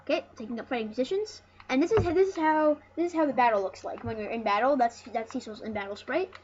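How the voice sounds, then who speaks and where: A high-voiced speaker giving casual let's play commentary as host of a retro gaming series, rambling and often restarting phrases. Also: fast. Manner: rambling, repeats and corrects phrases. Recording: muffled, noisy home recording.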